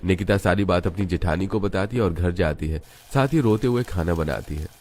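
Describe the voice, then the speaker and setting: A man's voice: deep voice, brisk, a little mellow. A man giving scripted narration for a Hindi cartoon story.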